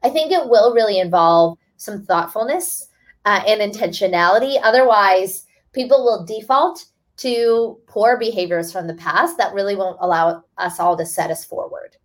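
A woman's voice: medium pitch, normal speed. A woman author speaking as a guest in a webinar, explaining earnestly and restarting phrases now and then. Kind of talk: webinar talk.

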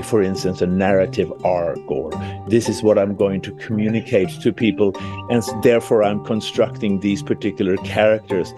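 A middle-aged or older man with a Swedish accent, originally from Sweden, telling stories in an interview and pausing and restarting as he explains. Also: on the low side. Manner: thoughtful, with small restarts while explaining. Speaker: library director interviewed. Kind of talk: conversational interview storytelling.

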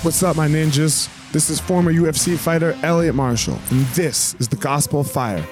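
A man hosting a podcast, talking in an energetic, loose and slangy casual way. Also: a little high, moderate pace, over music. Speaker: podcast host.

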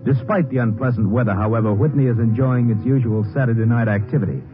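An actor narrating a western crime radio drama in a solemn, scripted 1950s style. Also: male voice, mid-range voice, fast talker, lightly acted. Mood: solemn, measured. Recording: tinny old radio recording with music.

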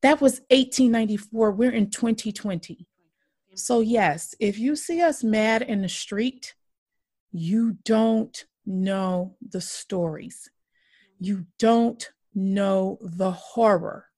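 Woman, 40s, podcast host, impassioned, emphatic, gravelly, dry close sound. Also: medium pitch, takes it slowly, a little mellow, dry recording. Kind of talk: earnest podcast conversation.

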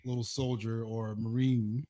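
A man's voice: mid-range voice, little pitch movement, unhurried, mellow. A man talking as a podcast co-host, blunt and matter-of-fact about his military service. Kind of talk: casual podcast chat.

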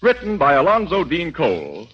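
A man's voice: a little high, wildly swooping pitch, moderate pace, husky. A man working as a 1940s radio announcer, delivering formal scripted introductions and dramatic narration. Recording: dull lo-fi 1940s radio, loud music behind. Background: loud music.

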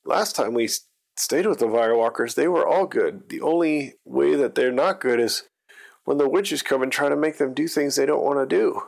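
A man's voice: medium pitch, moderate pace, gravelly, pitched above the performer's own voice. A man voicing an earnest young hero of a children's story, speaking simply.

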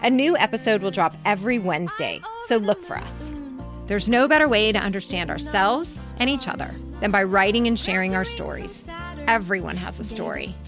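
A woman's voice: mid-range voice, animated, normal speed, music in the background. A woman co-hosting a podcast, talking with enthusiasm in a studio as she gives commentary. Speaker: podcast co-host. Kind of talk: casual discussion and commentary. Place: recording studio.

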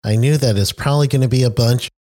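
A man's voice: mid-range voice, very fast, a little mellow. A man from the United States interviewing a guest in a friendly, chatty way, laughing easily. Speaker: interviewer, safety trainer. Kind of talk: conversational interview.

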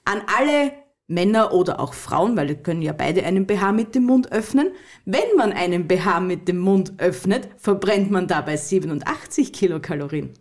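A woman's voice: on the low side, expressive, normal speed. A woman co-hosting a podcast, reading out fun facts with plenty of giggling. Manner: giggly, addresses listeners warmly. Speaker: podcast co-host. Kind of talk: casual fun-facts chat.

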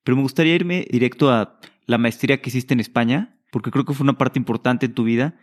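A male interviewer guides the talk with calm, measured questions. His voice sits at an ordinary middle pitch.

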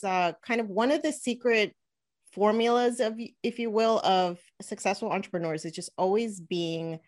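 A woman in her thirties from New Jersey, a podcast guest and money coach, talking in an energetic, chatty way in an interview. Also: mid-range voice. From New Jersey, USA. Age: 30s. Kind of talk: casual interview talk.

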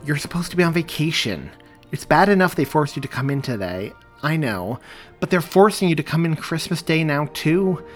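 A man's voice: fairly high pitch, sing-song, normal speed, soft background music, voice pushed up. A man reading aloud as a wife and mother who grows irate and hurt, her voice near breaking. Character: upset woman, wife and mother.

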